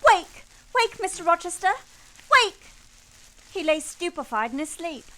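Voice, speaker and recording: An actress playing a grave, reserved, plain-spoken young governess in a radio play, earnest and polite. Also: female voice, very high-pitched, very animated, unhurried, sharp tone, full character voice, rough recording. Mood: alarmed. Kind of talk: radio play acting and first-person narration.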